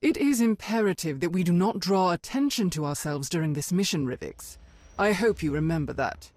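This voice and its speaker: An actor playing a stern, duty-bound Sith lord in a video game, formal, brisk and authoritative. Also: medium pitch, expressive, darkish tone, dry recording, close to an everyday voice. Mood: commanding.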